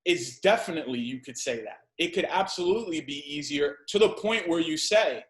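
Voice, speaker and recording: A man's voice: fairly high pitch, expressive, brisk, a bit bright. A man in his thirties, a guest in a casual podcast talk, speaking emphatically, repeating phrases and swearing freely. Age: 30s. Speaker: guest entrepreneur. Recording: echoey room.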